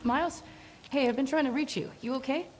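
An actress playing a concerned woman ally in a video game who gives quick instructions. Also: high-pitched, music in the background, natural voice. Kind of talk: video game cutscene acting.

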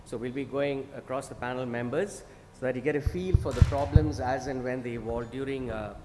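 A middle-aged man moderating a medical conference panel from a podium, measured with frequent fillers. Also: mid-range voice. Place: large conference hall, at a podium. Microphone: podium microphone. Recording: echoey hall with clear noise.

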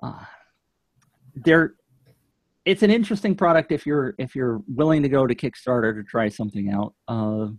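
A man in his fifties speaks as a guest on a tech podcast. His voice sits at a medium pitch, recorded close and dry, and he reviews gear in a casual, chatty way. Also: lively intonation, normal speed, pauses often, dry recording. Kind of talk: casual chat, product review. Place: home office indoors. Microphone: studio microphone on shock mount, close up.

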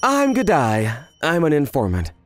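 An actor plays a shrewd male crime informant from a manga cartoon. He is cool and businesslike, laying things out in measured phrases over music. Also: on the high side, huge pitch swings. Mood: cool, sly. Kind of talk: animated manga dub dialogue.

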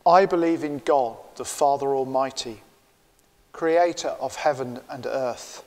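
A man's voice: medium pitch, a little slow, a little mellow. An older man with a British accent leading a church service, announcing a hymn and the creed in a formal tone. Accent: British English. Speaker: service leader. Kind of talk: leading worship. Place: church, at a lectern. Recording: long church reverb.

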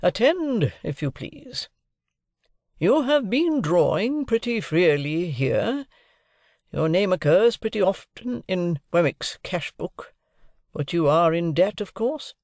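Man acting a brusque high-pitched domineering lawyer. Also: sing-song, darkish tone, dry recording, clean studio sound, heavily acted. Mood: triumphant, overbearing. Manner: cross-examining, emphatic, deliberate. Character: brusque, domineering middle-aged male lawyer guardian. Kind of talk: audiobook character dialogue.

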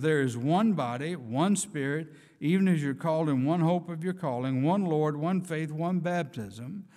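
A man's voice: a little high, darkish tone. A man in his sixties preaching an earnest, emphatic sermon from a church pulpit, reading scripture aloud. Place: church sanctuary, speaking from a pulpit. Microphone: pulpit microphone. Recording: church hall reverb.

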